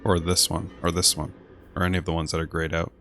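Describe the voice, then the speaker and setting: A man's voice: sits low, flat delivery, quick pace, fairly bright tone, soft background music. A man doing casual gameplay commentary as a streamer, dry and blunt, thinking aloud and reading menus. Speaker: game streamer.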